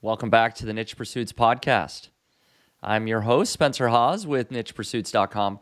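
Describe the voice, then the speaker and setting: Relaxed male podcast host. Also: mid-range voice, moderate pace. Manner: relaxed, with frequent fillers. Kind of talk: casual podcast chat. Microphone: studio boom mic.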